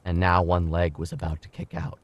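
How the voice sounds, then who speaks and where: An actor plays a bitter henchman narrating an audio drama. The voice is deep and brooding, telling its grim account in measured phrases. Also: fairly even intonation, close to an everyday voice. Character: grim, bitter male henchman recounting events.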